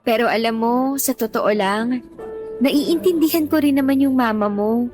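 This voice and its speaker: A woman's voice: a little high, moderate pace, darkish tone, soft background music, natural voice. A woman acting a devoted, stubbornly loyal young girlfriend in a Tagalog radio drama, with an earnest, pleading delivery. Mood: tender, reassuring.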